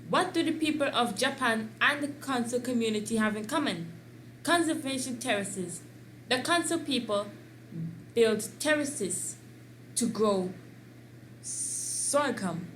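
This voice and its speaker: A woman reads aloud slowly and carefully, her voice sitting a little high. A faint hiss of noise runs behind her. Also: lively intonation, low-quality recording. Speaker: co-host reading aloud. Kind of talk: reading an article aloud.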